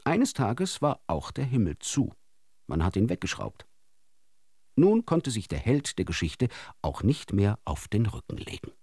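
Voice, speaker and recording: A man narrating absurd short tales for a radio drama in a theatrical, expressive way. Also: lowish voice, unhurried, breathy edge, no room sound, studio quality. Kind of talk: scripted radio storytelling.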